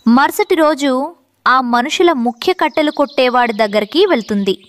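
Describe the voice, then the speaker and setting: A woman's voice: sits high, expressive, moderate pace. A woman reading scripted narration for a children's story.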